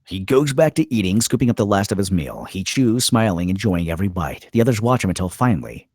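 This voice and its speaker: A man reading stage directions as a narrator at a script table read, in a terse, measured dramatic style. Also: fairly low pitch, very animated, a little mellow, no room sound, studio quality.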